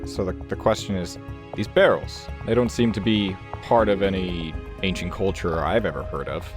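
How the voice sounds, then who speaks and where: A man playing a tabletop game in character, speaking in a deliberate, polite, inquisitive way. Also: low-pitched. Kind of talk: in-character roleplay questioning. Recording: music behind the voice.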